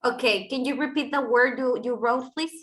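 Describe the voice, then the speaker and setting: High-voiced woman teaching an online class. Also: moderate pace, fairly bright tone. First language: Spanish. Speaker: English teacher. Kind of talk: online class instruction, calling on students.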